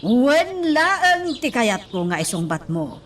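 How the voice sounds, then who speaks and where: A woman's voice: sits high, big pitch swings, takes it slowly, far from the mic, slight background noise, heavily acted. An actress playing a domineering, demanding mother, bossy and scolding, in a radio drama. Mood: stern, scolding.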